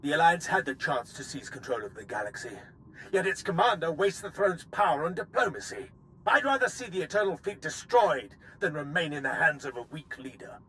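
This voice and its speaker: A man playing a haughty, power-hungry dark lord in a sci-fi game, with formal and disdainful delivery. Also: a little high, expressive, fairly quick, a little hiss or hum, rough recording. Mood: scornful. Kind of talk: dramatic video game cutscene acting.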